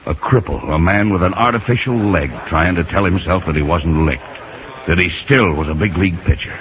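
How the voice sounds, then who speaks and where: An actor in a 1950s radio drama playing a plain-spoken, concerned baseball scout who tells the story in a folksy, earnest way. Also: male voice, sits low, brisk, a crowd in the background, natural voice. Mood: reflective. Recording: noisy, muffled 1950 radio recording.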